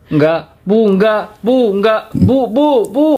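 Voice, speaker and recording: A man's voice: high-pitched, animated, unhurried, a loud crowd. A native Korean man chatting casually, spelling things out in a confused way. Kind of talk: casual chat.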